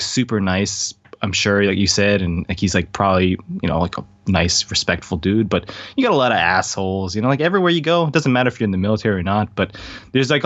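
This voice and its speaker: Man from Hawaii, interview guest, rambling and casual, low voice, dull dry audio. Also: no echo. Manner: laid-back, rambling with many fillers.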